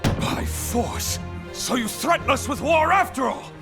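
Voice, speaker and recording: An actor playing a hot-tempered, suspicious royal adviser in a fantasy game, theatrical and accusing. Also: male voice, very high voice, lively intonation, a little slow, creaky, full character voice. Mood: outraged. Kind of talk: cinematic fantasy game acting. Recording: music behind.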